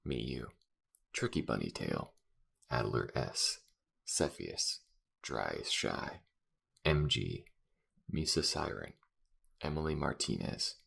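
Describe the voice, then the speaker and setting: A man's voice: rumbling low, gravelly, fairly bright tone. A man, a voice actor and channel creator, reading a scripted outro and a list of credits.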